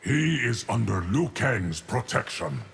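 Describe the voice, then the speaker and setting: An actor playing an ageless, solemn guardian of time in a video game, speaking in a deep, gravelly, measured and formal voice. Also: male voice, sing-song, exaggerated voice. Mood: grave, calm. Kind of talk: video game character dialogue.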